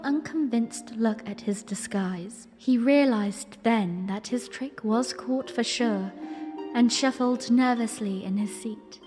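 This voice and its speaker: Woman voicing young princess, tender, playful, genteel, fairly high, unhurried storytelling, music underneath. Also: darkish tone. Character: young princess, playful, romantic, gently regal. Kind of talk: first-person storytelling and fan audio drama acting.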